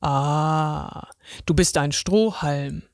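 Woman voicing a deep-voiced kindly pen conductor. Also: drawn-out words, no echo, studio quality, full character voice. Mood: friendly, curious. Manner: gentle, encouraging. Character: kindly male pen ticket inspector with mustache. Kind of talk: children's story reading.

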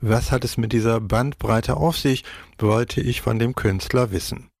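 A male reporter reading a scripted report voice-over in a measured, deliberate way. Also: mid-range voice, darkish tone, dry recording, clean studio sound.

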